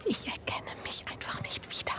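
An actress playing an anxious, guilt-ridden wife narrating a radio drama in an intimate, breathy, introspective way. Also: female voice, whispered, lightly acted. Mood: shaken, fixated. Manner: introspective, hushed inner monologue with halting dialogue. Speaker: lead actor and first-person narrator.

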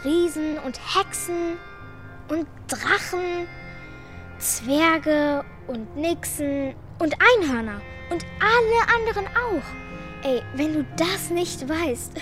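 Cheeky little orphan girl, high voice, bossy, kids radio play, faint music. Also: lively intonation, slow, fairly bright tone, exaggerated voice. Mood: cheeky, insistent. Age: child figure. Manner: blunt, defiant, bossy. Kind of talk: children's radio play acting.

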